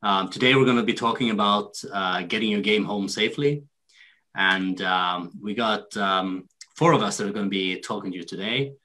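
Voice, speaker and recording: A man from Norway hosting a hunting webinar over a video call, speaking hesitantly with many pauses and fillers. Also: lowish voice, bright, forceful tone. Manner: hesitant, many ums and restarts. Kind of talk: casual video call introduction.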